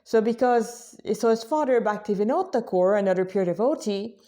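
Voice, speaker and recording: A woman giving an informal spiritual talk to listeners as a religious vlogger, conversational and halting, often correcting herself. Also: medium pitch, animated, dark tone. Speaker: religious vlogger, Krishna devotee.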